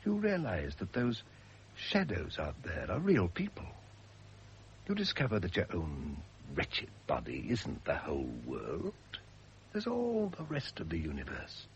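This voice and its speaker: An actor in a radio drama playing a gentle, reflective condemned husband who faces death calmly and speaks tenderly. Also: male voice, sits low, unhurried, muffled recording, slight background noise, heavily acted. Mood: earnest, consoling. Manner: literary, reflective, tender, with whispered drops. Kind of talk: classic radio drama acting.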